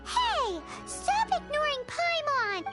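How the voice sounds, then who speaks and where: Cheeky childlike fairy sidekick, anime game: tiny squeaky voice, extremely high, swooping delivery, music underneath. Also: female voice, sing-song, slow, exaggerated voice. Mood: indignant. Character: Paimon, small childlike floating fairy companion, cheeky and chatty. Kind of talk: anime video game dialogue.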